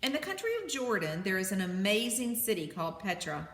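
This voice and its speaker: A woman's voice: mid-range voice, sing-song, a little fast. A woman teacher reading a children's nonfiction book aloud to students, in an instructional way with brief asides. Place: indoor room with heating running. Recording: echoey, reverberant room with background hum. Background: heater noise.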